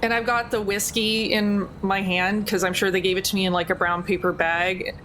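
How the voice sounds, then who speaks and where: A woman's voice: medium pitch, little pitch movement, moderate pace, a bit bright. A woman playing in a tabletop game, talking casually and rambling with fillers. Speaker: player. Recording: noisy background.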